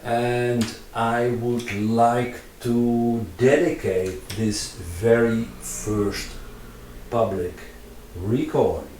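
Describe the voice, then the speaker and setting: A man from the Netherlands with a Dutch accent, native Dutch speaker, talking casually in English while drawing in VR, halting with many fillers and restarts. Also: medium pitch, a little mellow, roomy sound, recorded from a distance, a little hiss or hum. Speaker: VR artist.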